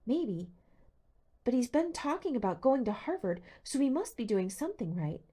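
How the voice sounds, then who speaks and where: A woman's voice: on the high side, sing-song, normal speed, warm tone, breathy edge, pitched above the performer's own voice. Woman playing a chatty, lilting teenage schoolgirl. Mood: gossipy. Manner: gossipy, casual.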